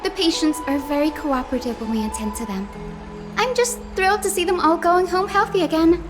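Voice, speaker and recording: A woman's voice: extremely high pitch, brisk. A woman voicing a sweet, cheerful young healer in a fantasy video game, with a bubbly, reassuring manner. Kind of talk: scripted video game voice acting. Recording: music behind the voice.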